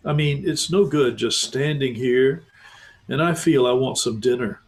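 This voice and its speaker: A man's voice: mid-range voice, a little slow, lightly acted. A man voicing a sensible, practical older schoolgirl in a storybook.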